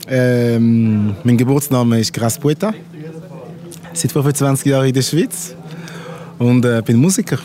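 Male musician, middle-aged, Congo-born, native Lingala, relaxed dark timbre, interviewed at an open-air festival. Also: mid-range voice. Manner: unhurried, searching for words. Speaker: musician guest. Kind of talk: casual interview.

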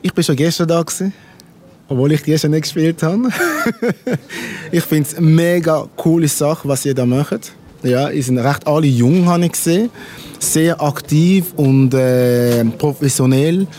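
A middle-aged man from Congo whose first language is Lingala, a musician talking in an unhurried, word-searching way in an interview at an outdoor festival. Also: on the high side, mellow. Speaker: musician guest. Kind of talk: casual interview.

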